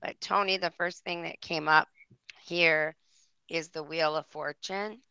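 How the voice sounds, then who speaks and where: A woman with a hoarse voice giving a tarot reading on air, rambling and searching for words. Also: low voice, steady tone, measured pace, bright, forceful tone, no echo, lo-fi sound. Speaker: psychic reader.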